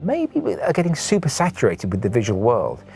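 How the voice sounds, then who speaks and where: A man's voice: medium pitch, wildly swooping pitch, brisk, creaky, music in the background. A man who makes audio drama, giving his views in an interview. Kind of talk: interview commentary.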